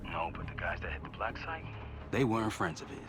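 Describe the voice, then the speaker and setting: Low-voiced grim operative. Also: male voice, expressive, a little fast. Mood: tense, guarded. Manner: terse, serious. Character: grim, determined male rogue CIA field operative. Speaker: intelligence operative. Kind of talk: cinematic game acting. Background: loud cinematic music.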